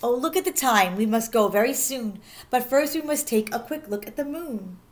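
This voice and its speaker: Higher-pitched woman reading a children's book aloud. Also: normal speed. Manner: animated, sing-song delivery of rhyming verse, stressing key words. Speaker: teacher reading aloud to pupils. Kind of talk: expressive children's picture book read-aloud.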